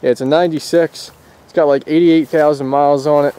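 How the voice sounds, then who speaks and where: A man's voice: on the high side, a little fast, smooth. A man casually explains hands-on work in a loose, rambling way, as the host of a how-to vlog recorded in and around a car. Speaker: host of a car radio install video. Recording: steady background noise, outdoor and vehicle ambience.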